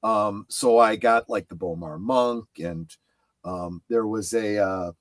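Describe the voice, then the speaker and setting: A middle-aged man chatting casually about collecting, explaining things in a halting way. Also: on the low side, dry recording.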